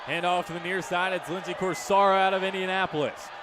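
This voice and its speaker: A man doing live radio basketball play-by-play, projecting excited, rapid-fire calls. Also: sits high. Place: courtside in a basketball arena. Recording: loud arena crowd noise behind. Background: crowd, bouncing ball.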